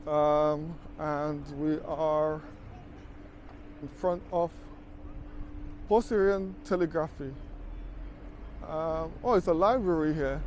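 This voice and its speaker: A man's voice: a little high, fairly even intonation, takes it slowly, pauses often, darkish tone, slight background noise. A man talking casually as a travel vlogger, chatty and conversational, recorded outdoors on city streets with a camera mic while walking. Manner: chatty, conversational, fond of "you know".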